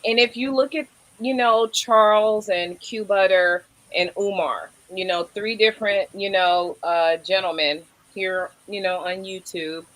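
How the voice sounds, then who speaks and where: A woman's voice: medium pitch, fairly even intonation, unhurried, hardly any pauses, a bit bright, poor audio. A woman with a Brooklyn accent co-hosting a casual livestream chat, rambling and filling nearly every phrase with "you know". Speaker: livestream co-host.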